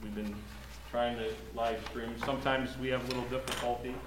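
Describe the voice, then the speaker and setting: A man presiding over a council meeting, speaking in a relaxed, joking way. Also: mid-range voice, a little fast, echoey room, a crowd in the background, low-quality recording.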